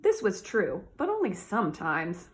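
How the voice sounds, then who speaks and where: A woman teacher doing a read-aloud for children, talking directly to her young listeners in an animated way. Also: mid-range voice, measured pace.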